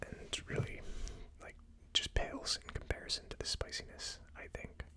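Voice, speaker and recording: A person giving a casual ASMR tasting review as host, speaking informally and a little tentatively. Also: normal speed. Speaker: host of a whiskey tasting series.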